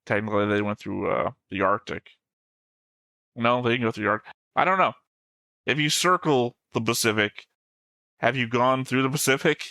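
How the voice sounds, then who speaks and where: A man's voice: mid-range voice, normal speed, gravelly, pauses often, pushed and bright, no echo. A man in a casual fan discussion as a show co-host, talking in a pedantic, insistent way.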